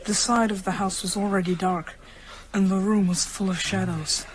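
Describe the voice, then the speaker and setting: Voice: medium pitch, steady tone, normal speed, close to an everyday voice, rough recording. A young performer playing a brave, urgent boy in a horror radio drama. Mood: tense narration. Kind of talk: radio drama acting and narration. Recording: clear background noise, clicks.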